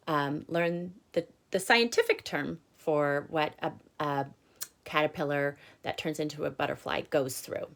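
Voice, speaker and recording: Slow-paced woman teacher reading to children. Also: low voice. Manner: expressive, drawn-out emphasis when reading; instructive, checks in with listeners. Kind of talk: read-aloud storytelling with explanatory asides for children.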